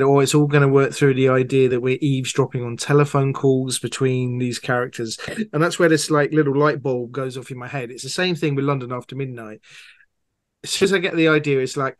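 A man's voice: mid-range voice, no room sound, studio quality. A man answering interview questions as a guest audio drama producer, talking conversationally and with enthusiasm.

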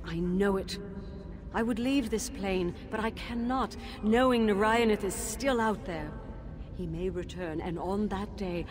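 An actress voicing a grieving ghost sorceress, speaking in a solemn, measured, formal way over game music. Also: female voice, on the low side, natural voice. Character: grieving ghost wife of a lich, noble and earnest. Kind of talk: video game voice acting. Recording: game audio with loud music. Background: orchestral score.

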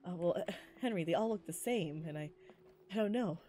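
A woman puts on a smug stoner sailor for a game soap opera. The voice drops low and quiet, drawling with unbothered hesitation. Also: fairly quick, heavy background noise, natural voice. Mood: sheepish, unbothered. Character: laid-back stoner ladies' man, smug sailor.

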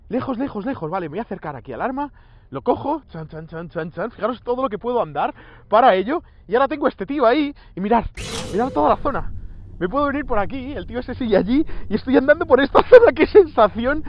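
A man in his thirties with a Spanish accent from Spain, giving excited commentary while playing a virtual reality game outdoors, exclaiming and laughing at his viewers. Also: very high voice, lo-fi sound. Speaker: VR gaming youtuber. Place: outdoors on an empty road at dusk. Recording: music under voice. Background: background music.

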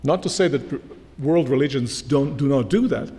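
A middle-aged man giving an academic lecture from a lectern, measured and emphatic. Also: medium pitch, dark tone. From Croatia. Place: large lecture hall, standing at a lectern. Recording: long hall reverb from lectern mic.

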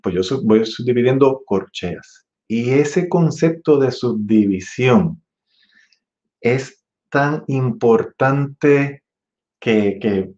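A man in his forties with a Puerto Rican accent, teaching an online music masterclass in a relaxed, chatty way, singing rhythms with syllables. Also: mid-range voice, very slow. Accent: Puerto Rican Spanish. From Puerto Rico. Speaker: trumpet teacher. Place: room with green wall. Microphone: webcam at desk. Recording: dull lo-fi webcam audio.

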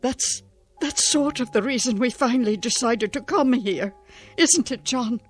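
An actress playing a gentle, earnest wife of seventy-four in a radio drama. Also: female voice, fairly high pitch, a little slow, soft background music. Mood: hopeful. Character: gentle 74-year-old devoted wife, earnest.

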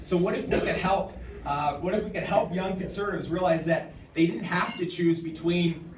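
An American man in his thirties giving an informal, halting acceptance speech at a podium, often repeating words as he goes. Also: high voice. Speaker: award recipient and nonprofit director giving an acceptance speech. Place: hotel function room, indoors at a podium. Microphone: podium microphone, captured by a camera in the audience. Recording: distant, echoey room with clear background noise.

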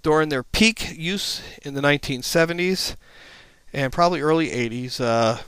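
Clear-voiced man presenting an informal tutorial. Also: a little high, normal speed, fairly bright tone, dry recording. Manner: plain-spoken, matter-of-fact explaining. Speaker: instructor, presenter. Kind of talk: informal slide presentation narration.

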